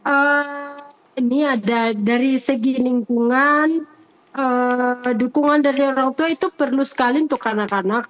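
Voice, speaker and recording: Higher-voiced woman teacher, earnest and hesitant. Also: poor audio. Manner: earnest, hesitant, repeats phrases. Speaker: teacher being interviewed. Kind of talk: unscripted interview answers.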